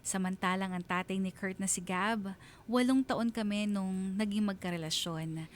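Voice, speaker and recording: A woman playing a reflective single mother, telling her love story in earnest, sentimental, deliberate phrases like a confessional radio drama. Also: mid-range voice, slight background noise, close to an everyday voice. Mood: touched, tender. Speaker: narrator of a radio drama letter.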